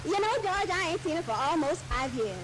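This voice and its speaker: An actress in an old-time radio comedy playing a naive, sentimental wife, eager and wistful. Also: female voice, very high-pitched, animated, fairly quick. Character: naive, sentimental wife excited about her mother. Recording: noisy vintage radio recording with rain.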